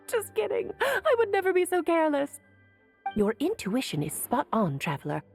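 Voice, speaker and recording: A woman's voice: very high voice, animated, measured pace, vocal fry, faint music behind, heavily acted. An actress playing a worldly, playful sorceress mother in a video game, teasing and eloquent in an airy, theatrical way. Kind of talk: video game character acting.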